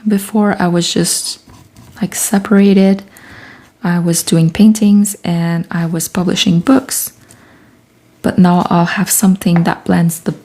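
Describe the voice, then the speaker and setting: Relaxed woman, slow gravelly voice. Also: medium pitch. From Quebec, Canada. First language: French. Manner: chatty, thinks aloud, reads viewer comments. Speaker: artist livestreaming her process. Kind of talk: casual livestream chat while working.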